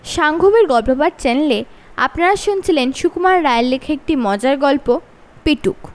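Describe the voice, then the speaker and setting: A woman storyteller with a Bengali accent reads a funny children's tale aloud. Her voice sits high and moves all over the place. She changes her delivery for each character. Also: normal speed. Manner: expressive, shifts pitch for each character.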